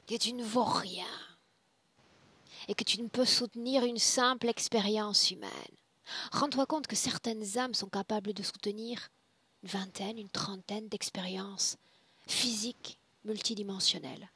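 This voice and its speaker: Slow, hushed woman with a creaky voice. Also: medium pitch. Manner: hushed, breathy, deliberate, commanding. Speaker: spiritual hypnosis session guide. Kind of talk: guided trance session, slow solemn address.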